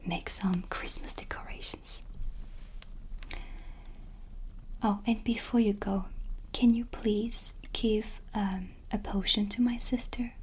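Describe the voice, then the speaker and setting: Woman as a slow, whispering gentle witch. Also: medium pitch. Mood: warm, hopeful. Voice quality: soft, breathy whisper. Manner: slow, soothing, unhurried. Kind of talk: whispered cinematic ASMR roleplay.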